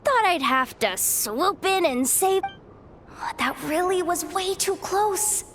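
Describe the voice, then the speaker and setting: A woman voicing a brash, grumbling but soft-hearted young adventurer in a video game, self-assured and sardonic. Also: very high-pitched, expressive, slow pace, a little hiss or hum, exaggerated voice. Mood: confident. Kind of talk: video game character acting.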